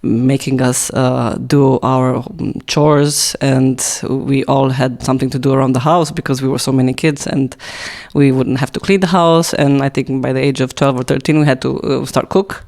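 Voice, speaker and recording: A woman's voice: very deep voice, steady tone, moderate pace, hardly any pauses, a little mellow. A young woman from Romania, with a Romanian accent and Romanian as her first language, answering interview questions in a hesitant way with many fillers and restarts. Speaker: guest.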